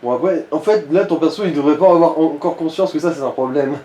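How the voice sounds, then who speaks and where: Mellow-voiced man in an echoey room. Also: on the high side, fast. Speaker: co-commentator guiding the player. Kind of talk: casual gaming chat, giving tips. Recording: roomy echo, faint background noise.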